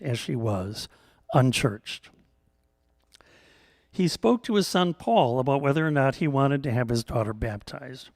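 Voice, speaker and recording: A man telling a scripted story as a storyteller, in a measured, gently humorous way. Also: on the high side, no room sound, studio quality.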